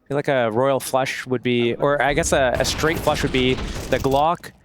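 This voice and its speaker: A man's voice: medium pitch, fairly even intonation, moderate pace, noisy background. A man giving easygoing analysis during live commentary on an esports match. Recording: faint gunfire and clicks behind.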